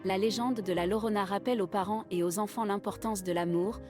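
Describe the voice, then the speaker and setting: A woman's voice: mid-range voice, fairly even intonation, darkish tone. Solemn woman narrating a legend over music. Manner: measured, solemn storytelling. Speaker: narrator of a played clip. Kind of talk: scripted dramatic narration.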